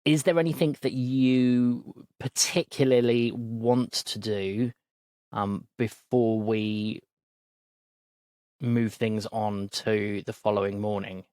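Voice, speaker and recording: A man recapping a tabletop game session as game master, relaxed and full of ums. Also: on the low side, takes it slowly, no echo.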